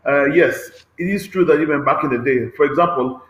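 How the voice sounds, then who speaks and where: A man's voice: a little high, fairly quick, fairly bright tone. A man speaking on an online panel as head of a transport agency, explaining earnestly and checking in with "okay?". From Kenya. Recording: roomy echo.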